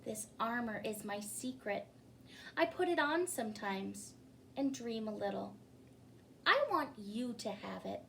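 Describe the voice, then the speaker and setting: A woman reading a storybook as a gentle, wistful small male court jester, soft and confiding. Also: a little high, expressive, measured pace, full character voice. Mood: wistful, kind. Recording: clearly noisy recording.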